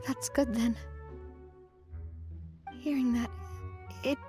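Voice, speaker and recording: A high-voiced young woman leader, earnest and vulnerable. She speaks slowly, gently and with composure, as in a video game story, over faint music. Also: steady tone. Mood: vulnerable, sincere. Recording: soft music behind the voice.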